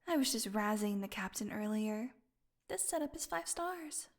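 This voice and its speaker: A woman playing a starved, flirty, sardonic captive vampire in an intimate audio roleplay, teasing and slipping into breathy whispers. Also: medium pitch, animated, moderate pace, lightly acted. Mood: sardonic, wry. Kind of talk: audio roleplay acting, intimate one-sided dialogue.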